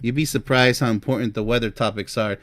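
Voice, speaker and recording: A man's voice: medium pitch, steady tone, fast, dry recording. A man giving informal relationship advice as a host, chatty and emphatic, speaking straight to the listener. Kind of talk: informal advice talk to camera, ranking topics.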